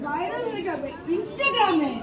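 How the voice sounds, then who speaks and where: A woman's voice: extremely high pitch, expressive, measured pace. A woman playing a gossipy, social-media savvy sage who bears news in a stage comedy. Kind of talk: community comedy stage play. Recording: echoey distant stage, crowd and noise.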